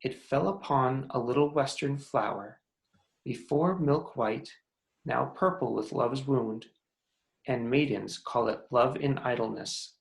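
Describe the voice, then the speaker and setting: A man's voice: medium pitch, unhurried, creaky, rough recording. A man playing a jealous, commanding fairy king in a theatrical, declamatory reading of Shakespearean verse. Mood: storytelling, commanding. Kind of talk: Shakespearean verse table read. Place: remote video call reading.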